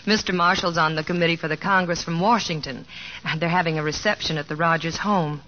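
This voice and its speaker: A woman's voice: lowish voice, steady tone, fairly quick, pushed and bright, a little hiss or hum. An actress playing a poised, helpful young American woman of the old West, in 1950s radio drama style. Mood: polite, flustered. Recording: dull vintage radio broadcast, music behind.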